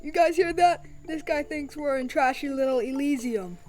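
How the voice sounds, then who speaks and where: A woman's voice: high voice, moderate pace, a little mellow, faint music behind, close to an everyday voice. A woman voicing a snobbish, mocking resident of a mystical realm in an audio drama, with a haughty manner.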